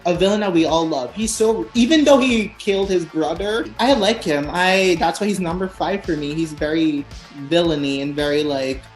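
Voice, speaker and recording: A woman's voice: on the low side, moderate pace, hardly any pauses, darkish tone, reverberant, faint music behind, poor audio. A woman hosting a casual chat about films, enthusiastic and gushing. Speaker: host.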